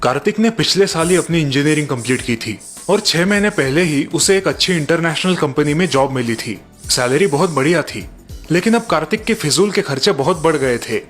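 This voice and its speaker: A man's voice: a little high, lively intonation, a little fast, a bit bright, soft background music. A man reading scripted story narration.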